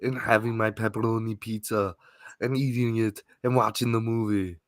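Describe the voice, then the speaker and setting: A man co-hosting a casual podcast who drops dry, wisecracking asides in a low-key way. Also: steady tone, normal speed, dry recording. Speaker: podcast co-host. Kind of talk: casual chat.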